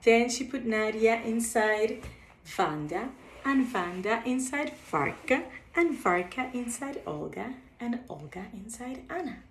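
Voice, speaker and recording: A woman's voice: mid-range voice, slight background noise. A woman reading a children's bedtime story aloud as a storyteller, expressively, as if for young listeners. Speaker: storyteller reading a picture book. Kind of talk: read-aloud bedtime story with short asides on the pictures. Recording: echoey, roomy sound.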